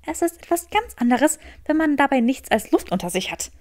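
Prim young heroine for storybook female reader acting, lifted pitch, impatient, quick to protest. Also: very animated, darkish tone. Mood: defensive. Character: prim, spirited young woman, defensive and impatient.

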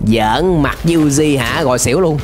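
A young adult man giving animated, exclamatory reaction commentary in slangy speech, close on a desk microphone. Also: fairly high pitch, normal speed, music in the background. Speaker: reaction video host. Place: small indoor room, webcam setup.

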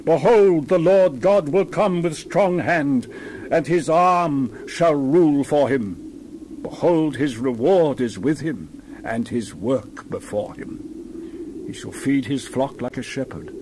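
Man, Bible reader, dramatized delivery, emphatic and solemn, somewhat high pitch, faint noise behind. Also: slow pace, darkish tone. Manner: solemn, theatrical, emphatic declamation. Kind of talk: dramatized scripture reading.

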